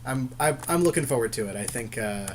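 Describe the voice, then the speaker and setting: A man's voice: mid-range voice, lively intonation, a little fast, a little hiss or hum. A man co-hosting a podcast, talking casually and agreeably in slangy language as he goes through a card game draft. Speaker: podcast co-host.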